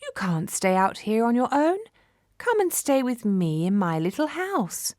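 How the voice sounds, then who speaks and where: A woman's voice: fairly high pitch, sing-song, unhurried, a little mellow, no room sound, clean studio sound, pitched above the performer's own voice. Woman voicing a kind motherly storybook field mouse. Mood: kindly, sympathetic.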